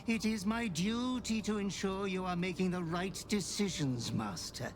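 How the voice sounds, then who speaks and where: An actor playing a sinister male butler in a video game, speaking smoothly, slowly and with menace. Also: sits high, soft background music, lightly acted. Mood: menacing. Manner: smooth, sinister, slow and insinuating. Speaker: game character.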